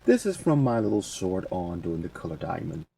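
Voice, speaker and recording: Low-voiced man giving a casual tutorial. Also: big pitch swings, moderate pace, creaky, warm tone. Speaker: tutorial presenter. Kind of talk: casual software walkthrough. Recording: distant mic with faint background noise.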